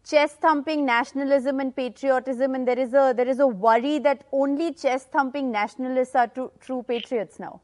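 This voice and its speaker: A woman hosting a panel discussion, asking probing questions in a measured, articulate way. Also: sits high, steady tone, darkish tone. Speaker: host.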